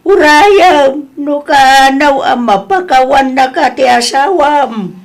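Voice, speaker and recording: A woman's voice: sits high, expressive, slow pace, heavily acted. A woman acting an older Ilocano mother in a drama, plain-spoken, advising and consoling her grown child. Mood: gentle, resigned.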